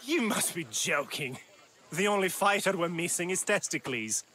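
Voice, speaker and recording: A man's voice: high voice, lively intonation, moderate pace, sharp tone, a little hiss or hum. A man playing a fussy, incredulous games official of ancient Greece in a video game, sarcastic and exasperated. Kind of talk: video game cutscene acting.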